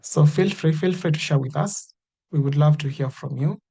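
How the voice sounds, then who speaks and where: A man's voice: fairly high pitch, moderate pace, creaky, mellow, husky. A man hosting an online course meeting, inviting participants to introduce themselves with repeated encouragement and many fillers. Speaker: meeting host. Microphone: video call.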